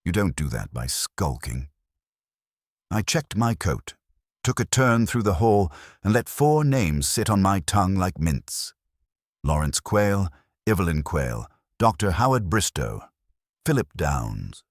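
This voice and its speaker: A man's voice: low-pitched, very animated, unhurried, dry recording, studio quality. A man narrating a first-person detective story in a wry, hardboiled storytelling manner. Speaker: audiobook narrator.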